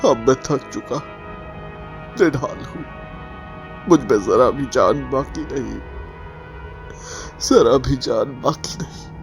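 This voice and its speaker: An actor playing an exhausted, guilt-ridden, obsessed scientist in a halting, anguished audio drama monologue. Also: male voice, mid-range voice, gravelly, faint music behind. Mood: weary, failing. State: exhausted, weak.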